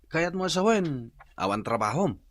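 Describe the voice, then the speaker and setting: An actor playing a friendly, helpful neighbour in a radio drama, with an Ilocano accent. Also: male voice, fairly high pitch, very animated, normal speed, a bit bright.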